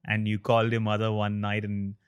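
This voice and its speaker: A man hosting a podcast interview, asking measured, reflective questions. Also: lowish voice, completely flat delivery, clean tone, muffled recording. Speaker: podcast host.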